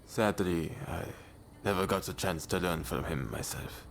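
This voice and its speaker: A stern, devoted samurai in a video game. The voice is very deep and creaky, delivered slowly and earnestly over faint ambient noise. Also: male voice. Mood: grave, earnest. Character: brooding, devoted samurai man, stern and loyal. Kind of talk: video game cutscene acting.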